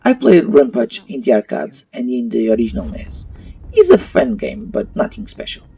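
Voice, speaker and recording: A man's voice: mid-range voice, wildly swooping pitch, normal speed, gravelly, mellow, breathy edge. A man giving an opinion review in voice-over, with non-native English phrasing. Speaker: YouTube movie reviewer. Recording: muffled, slightly distant mic. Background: faint music.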